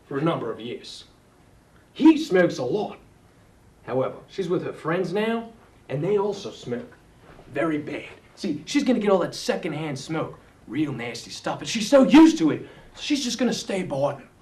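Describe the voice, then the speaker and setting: Male narrator, high and very animated pitch, wry and mock-serious documentary delivery. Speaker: narrator of a video segment.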